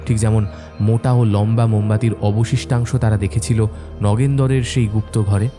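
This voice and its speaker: A man narrating a scripted horror story in a measured, suspenseful, dramatic manner. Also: on the low side, steady tone. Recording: soft music bed behind narration.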